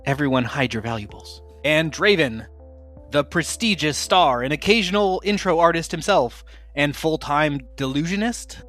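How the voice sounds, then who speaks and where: A man with a slightly high voice hosts a tabletop game podcast as its game master. He talks casually and jokes along as he goes. Faint music plays underneath. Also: expressive, moderate pace, a bit bright. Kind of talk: casual hosting of an actual-play tabletop podcast.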